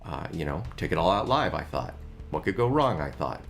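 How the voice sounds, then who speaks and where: A man's voice: low voice, sing-song, a little fast, gravelly. A middle-aged man talking casually about his music gear, chatty and a bit rambling.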